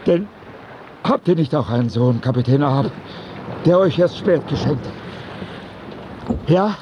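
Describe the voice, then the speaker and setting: Pleading ship's captain, high and swooping. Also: male voice, animated, slow. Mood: imploring. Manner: imploring, emotional. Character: desperate ship's captain, pleading father of a lost son. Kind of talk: theatrical radio drama acting. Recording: clear wind and water noise. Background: wind, water, boat sounds.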